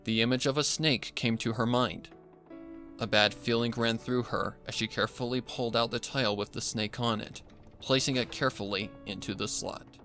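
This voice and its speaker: A man reading a dark horror story as a dramatic scripted narration. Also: medium pitch, steady tone, normal speed, soft background music. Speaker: fan fiction narrator.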